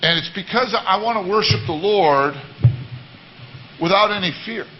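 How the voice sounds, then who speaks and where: A man with a baritone voice giving an earnest, repetitive sermon-style talk to a church congregation. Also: normal speed, lo-fi sound, a little hiss or hum. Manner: earnest, repetitive, many restarts. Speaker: worship leader. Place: church service.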